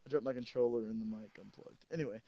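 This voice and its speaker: A teenage man, a high school football player, casually telling crude, joking, rambling stories to a friend. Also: steady tone, fast talker, muffled recording, heavy background noise.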